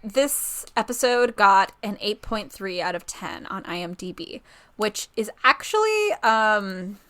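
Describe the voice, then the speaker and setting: A woman's voice: medium pitch, very animated, unhurried, fairly bright tone. A woman podcast co-host chatting casually about a TV show in an informal, rambling way, often correcting herself.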